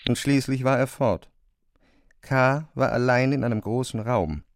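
A man narrating a literary radio drama from a script in a measured storytelling style. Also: mid-range voice, background noise.

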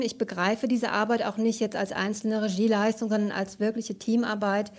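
A woman's voice: medium pitch, a little mellow. A woman who directs radio drama, giving an earnest thank-you speech and repeating words for emphasis.

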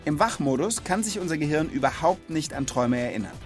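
A man's voice: on the high side, animated, fast, music in the background. A man hosting a children's podcast, asking questions in an informal, chatty, conversational way. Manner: informal, chatty, reacts with short interjections.

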